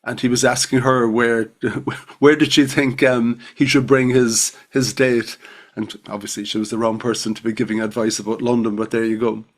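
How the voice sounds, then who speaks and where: A middle-aged man hosting a podcast at an ordinary pitch. He is informal and jokey, spins small anecdotes and asks questions in a loose, conversational way. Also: little pitch movement, moderate pace. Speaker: podcast host.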